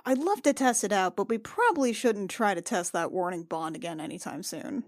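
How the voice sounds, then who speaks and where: A woman acting out an awkward, protective fantasy warrior in a tabletop game. The speech is halting and wry, easily flustered, at a medium pitch with a close, dry sound. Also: expressive, dry recording, lightly acted. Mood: curious, wry.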